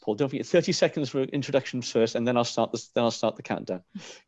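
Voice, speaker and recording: A man's voice: medium pitch, fast, darkish tone, dry recording. A man chairs an online webinar over a video call, polite and chatty as he keeps time and hands over to the next speaker. The call audio is dull and lo-fi, and very dry with no room sound. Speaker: webinar moderator.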